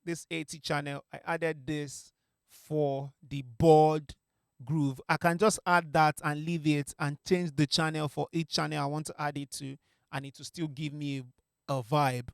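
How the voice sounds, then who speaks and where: A man's voice: fairly high pitch, flat delivery, a little slow, gravelly, clean tone, no echo. A young man, a music producer, talking through a vocal mix in a casual tutorial from his small home studio, with many fillers and restarts. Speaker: music producer teaching mixing. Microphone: studio mic on a stand.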